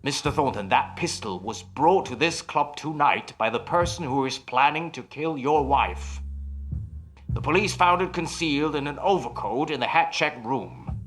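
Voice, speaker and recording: An actor playing a self-assured nightclub mind reader in an old-time radio mystery, with a formal, emphatic, measured delivery. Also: male voice, a little high, animated, fairly bright tone, faint music behind. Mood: grave, confident.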